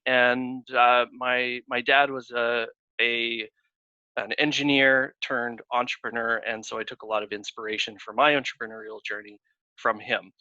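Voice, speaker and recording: A man hosting a casual webinar over a video call, talking in a halting way with many fillers and restarts. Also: mid-range voice, level tone, sharp tone, no echo. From Canada. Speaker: webinar host, consulting firm founder. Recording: dull lo-fi video call audio.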